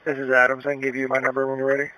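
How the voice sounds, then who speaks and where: A man's voice: medium pitch, monotone, rapid-fire, sharp tone, no echo. A man on emergency two-way radio, giving short businesslike messages as an operator. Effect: two-way radio transmission. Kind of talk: scanner radio traffic. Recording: very noisy, clicking radio channel.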